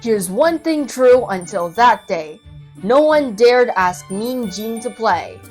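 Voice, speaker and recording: A woman reading a children's story aloud as an expressive narrator, with dramatic, rhythmic and playful delivery. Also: fairly high pitch, slow pace, breathy edge. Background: children's music.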